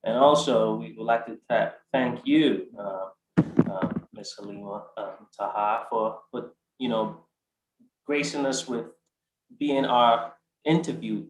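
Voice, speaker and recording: A man in his forties from Ghana, a guest describing his life in a halting way, with many fillers and restarts. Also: medium pitch, echoey room, poor audio. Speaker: art collector guest. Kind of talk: conversational interview answer.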